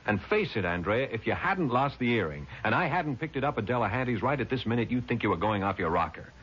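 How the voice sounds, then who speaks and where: A man's voice: medium pitch, animated, fast talker, a bit bright, slightly rough. An actor playing a confident, shrewd young male attorney in a 1970s radio drama, wry and insistent in manner. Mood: blunt, insistent. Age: young adult. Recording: dull vintage radio recording with music.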